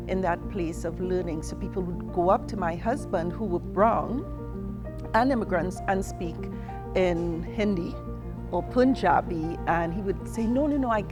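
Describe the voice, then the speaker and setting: A middle-aged woman from Trinidad and Tobago tells her own story in an earnest, candid way. Her voice sits fairly low, and she slips into quick imitations of the people she quotes. Faint music plays under her words. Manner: earnest and candid, quoting people in lively asides. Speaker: interviewee. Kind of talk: personal testimony.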